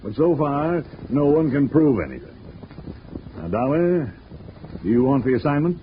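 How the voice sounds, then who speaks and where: A man's voice: a little high, lively intonation, normal speed, lightly acted. Male actor as a businesslike insurance executive in a 1940s radio play; muffled broadcast with faint hiss. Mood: briefing. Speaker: insurance company official. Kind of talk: 1940s radio drama acting.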